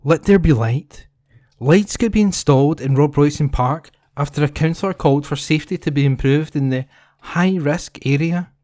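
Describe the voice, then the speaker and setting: A man's voice: a little high, normal speed, a little mellow, dry recording, studio quality. A man reading local newspaper articles aloud as a volunteer. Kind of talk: scripted news reading for a talking newspaper.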